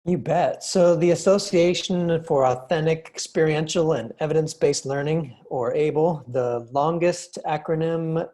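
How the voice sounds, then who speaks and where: A man with a mellow, slightly high voice presents on a webinar call. His tone is easygoing and relaxed. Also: measured pace, hardly any pauses. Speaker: association representative. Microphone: video call.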